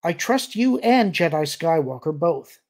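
Young winged alien creature, played by a man, high-pitched, anxious, earnest, formal phrasing, audiobook character. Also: lively intonation, moderate pace, darkish tone, slightly rough.

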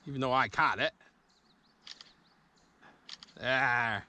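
A man's voice: mid-range voice, slow, bright, forceful tone. Easygoing man coaching from a boat. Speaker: vlogger fishing with a boy. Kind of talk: casual outdoor vlog chat. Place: small boat on a river, outdoors. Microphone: action camera mounted on the boat. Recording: faint outdoor noise from a boat camera.

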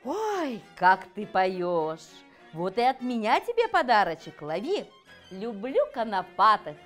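A woman's voice: mid-range voice, big pitch swings, takes it slowly, soft background music. A woman voicing a cheerful, generous fairy-tale sun with affectionate exclamations. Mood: delighted. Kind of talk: children's storybook reading.

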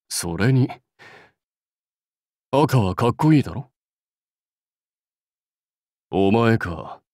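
An actor playing a proud, brusque warlord for a mobile game, curt, commanding and self-assured in manner. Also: male voice, fairly low pitch, no echo, studio quality. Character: proud, brusque warlord rider devoted to his clan. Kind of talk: Japanese mobile game character lines.

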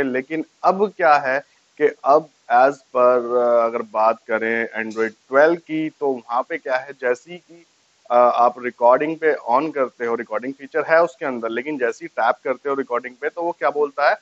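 A man's voice: mid-range voice, fairly bright tone, muffled recording. A man gives a casual step-by-step tutorial to camera, explaining and repeating each step. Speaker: tech tutorial host. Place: small furnished room indoors, on a sofa. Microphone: lapel mic.

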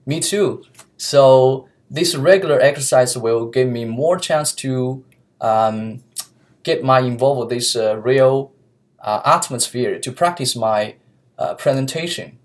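A young man from China speaks English with a Chinese accent, earnest and careful. He pauses with fillers and restarts phrases as he looks for words. His voice is picked up by a laptop webcam in a small office room. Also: medium pitch. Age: young adult. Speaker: student interviewee. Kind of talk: mock job interview. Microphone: laptop webcam mic.

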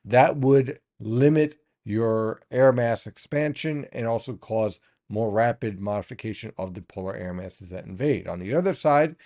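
A man in his thirties, a weather forecaster, giving a steady explanatory briefing over weather maps from a small home studio. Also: lowish voice, normal speed, hardly any pauses. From United States. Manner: steady explanatory tone, pointing things out on charts.

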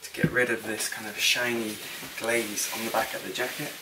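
A man in his thirties calmly explaining a hands-on craft project in a casual vlog voice-over, pausing with frequent fillers. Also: fairly low pitch. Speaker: DIY vlogger. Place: home garage workshop. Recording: distant mic with audible background noise.

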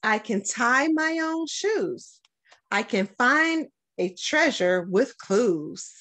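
Animated American woman reading aloud, slow pace. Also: on the high side. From Detroit, United States. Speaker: school principal reading aloud to children. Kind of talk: storytime picture-book read-aloud. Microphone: webcam at about arm's length.

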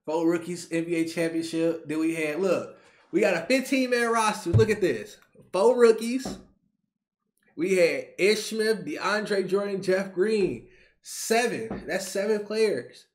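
Young man ranting excitedly to camera. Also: sits high, measured pace. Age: 20s. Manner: animated, slangy, repeats himself. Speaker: YouTube sports reaction creator. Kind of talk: excited casual rant to camera. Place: small bedroom, indoors.

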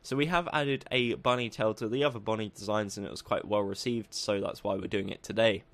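Croaky-voiced man narrating a building tutorial. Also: lowish voice, fairly even intonation, brisk. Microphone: voice-over over game footage.

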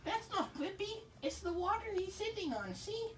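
Someone putting on a very high-pitched grandma teddy bear. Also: sing-song, moderate pace. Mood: muddled, matter-of-fact. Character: elderly grandma teddy bear hosting a cooking show, cheerfully muddled. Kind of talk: amateur puppet skit cooking show. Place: small bedroom, indoors. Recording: noisy home recording with clicks.

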